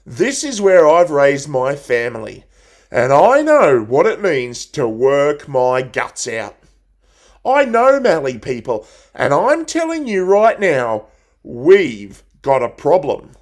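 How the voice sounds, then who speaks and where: A man reciting a theatrical monologue as a blunt, folksy 68-year-old Australian country woman making a rousing campaign speech. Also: on the high side. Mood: proud, plainspoken.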